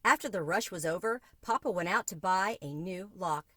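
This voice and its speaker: A woman's voice: on the low side, normal speed, fairly bright tone, no room sound. Woman reading a storybook aloud, dry sound. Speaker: storybook reader. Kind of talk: expressive children's book read-aloud.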